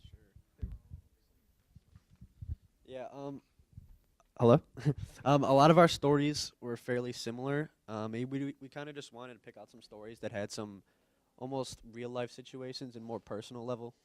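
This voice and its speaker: A man's voice: mid-range voice, steady tone, moderate pace, long pauses, clear, resonant tone, no echo. A young man, a student, giving a hesitant unscripted answer to a question. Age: young adult.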